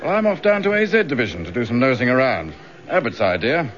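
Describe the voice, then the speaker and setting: English detective, breezy, good-natured, gossipy, expressive mid-range voice, radio drama, lo-fi. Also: male voice, mid-range voice, fast. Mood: casual, gossipy. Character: chummy, gossipy male police colleague, breezy and good-natured. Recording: dull lo-fi radio drama recording.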